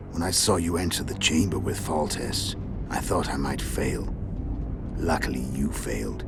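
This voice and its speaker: Game villain: hulking, unrepentant assassin, rumbling bass with heavy gravel, calm and dryly ironic, music underneath. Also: male voice. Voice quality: very deep, gravelly. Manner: measured, matter-of-fact storytelling with dry irony. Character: hulking, world-weary male assassin, calm, cunning and unrepentant. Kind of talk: video game cutscene acting. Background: background music.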